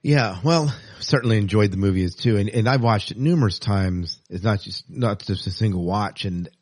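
A man hosting a casual podcast interview, speaking in a halting way and repeating phrases. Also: fairly low pitch, a little mellow, dry recording, clean studio sound.

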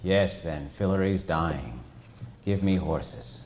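Man doing a giant male swamp turtle, deep, slow and drawn-out, menacing, storybook creature. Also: darkish tone, slight background noise. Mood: grumbling. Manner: slow, heavy, drawn-out delivery.

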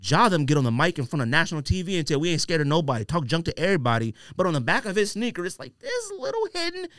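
A man's voice: fairly high pitch, wildly swooping pitch, fast, fairly bright tone, no echo, clean studio sound. A man casually debating as a podcast host, emphatic, blunt and slangy in his opinions.

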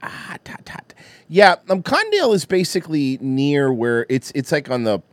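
A man co-hosting a crude, casual podcast chat, loud and profane, with a lot of laughing. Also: a little high, huge pitch swings, normal speed, no echo. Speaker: podcast co-host.

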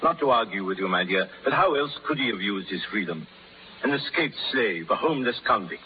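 A man's voice: fairly low pitch, animated, a little fast, a little hiss or hum, natural voice. Avuncular old nobleman, male actor, wry and teasing, swooping intonation, tinny thirties radio drama recording. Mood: musing, teasing. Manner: avuncular, musing, gently teasing. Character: wry, kindly, worldly elderly English lord. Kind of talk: 1930s radio drama acting.

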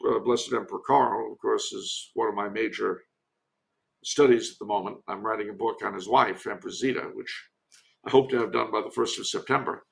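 Gravelly, creaky man giving historical commentary. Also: lowish voice, steady tone, low-quality recording. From United States. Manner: discursive, erudite, halting delivery. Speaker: podcast guest, author and commentator.

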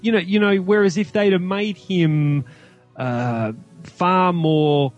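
A man's voice: sits high, takes it slowly, lo-fi sound, faint music behind. A man as a podcast guest in casual film discussion, rambling and stammering as he searches for words. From Australia.

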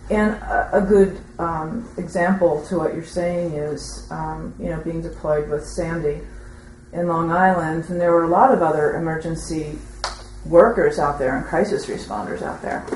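A woman with a low voice offers a halting, tentative testimony from the audience. She often trails off, and the room adds a clear echo over faint background noise. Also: darkish tone. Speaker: audience member commenting at a teaching session. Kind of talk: rambling spoken testimony in a group discussion.

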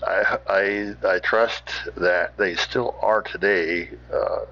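Deep gravelly folksy man, halting. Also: fairly even intonation. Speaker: podcast host. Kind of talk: informal rambling commentary. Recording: dull, muffled recording.

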